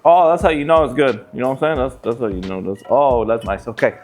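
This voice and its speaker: A man with a medium-pitched voice explains his handiwork in a loose, slangy way with some joking. There is faint music in the background. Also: fast. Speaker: exhaust fabricator presenting his work. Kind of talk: casual vlog chat. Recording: music behind the voice.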